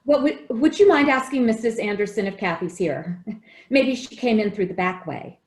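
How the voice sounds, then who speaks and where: A woman playing an affectionate, fretful caretaker of a young child in a radio drama, expressive and coaxing. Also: mid-range voice, normal speed, close to an everyday voice. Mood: worried.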